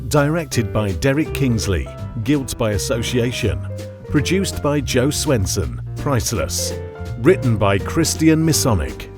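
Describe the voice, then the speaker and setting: A man reads opening credits in a scripted announcer style. He is slow and measured with widely varied intonation, over prominent guitar music. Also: medium pitch, big pitch swings. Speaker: introduction announcer.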